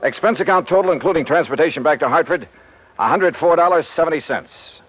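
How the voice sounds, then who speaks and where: Wry american insurance sleuth, 50s radio drama, lively pitch swings, muffled old recording. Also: male voice, a little fast. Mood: brisk, businesslike. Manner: punchy, clipped, sardonic. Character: wry, brisk freelance insurance investigator, adult man. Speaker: lead actor in a radio detective series. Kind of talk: 1950s radio detective drama acting and narration. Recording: muffled vintage radio recording with organ music.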